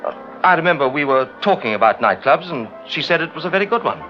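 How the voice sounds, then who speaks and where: An actor playing a well-mannered, evasive English gentleman with a Received Pronunciation accent, curious and slightly hesitant, in an old radio drama style. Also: on the high side, animated, fast, soft background music, close to an everyday voice. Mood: polite, guarded. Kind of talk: mid-20th-century BBC radio drama acting. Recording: dull vintage radio recording.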